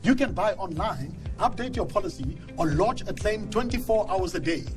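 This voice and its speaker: A man's voice: sits high, animated, normal speed. A man reading an advert voice-over, persuasive and emphatic. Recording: music bed under voice.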